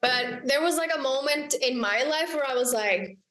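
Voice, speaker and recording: A woman's voice: high-pitched, moderate pace, fairly bright tone, roomy sound. A young Icelandic woman, a singer and songwriter, chatting as a guest in a casual interview, bubbly and giggly, often quoting herself.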